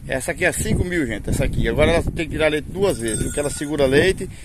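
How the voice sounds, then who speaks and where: A man's voice: a little high, lively intonation, fast. A man with a Northeastern Brazilian accent giving casual, rustic live commentary to viewers as a cattle-selling vlogger. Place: outdoor cattle corral. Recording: noisy outdoor farm recording.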